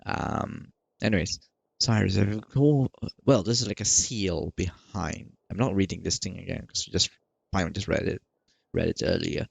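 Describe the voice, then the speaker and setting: A man with a lowish, creaky voice giving casual gaming commentary. He rambles and halts, circling back over his words, in a dry close recording. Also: dry recording. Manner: rambling, halting, repeats himself. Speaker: gaming video creator. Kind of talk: casual let's play commentary.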